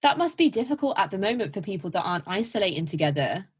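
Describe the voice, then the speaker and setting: A woman's voice: mid-range voice, big pitch swings, quick pace, husky. A woman hosting a podcast interview, asking questions in a hesitant way with frequent restarts. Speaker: podcast host. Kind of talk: casual interview.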